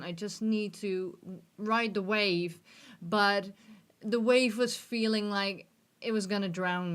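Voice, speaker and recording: A woman's voice: medium pitch, fairly bright tone, clean tone. A woman in her thirties talking openly and earnestly to the camera in a personal vlog, seated in a gaming chair in a dim room, with self-deprecating laughs and false starts. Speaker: vlogger.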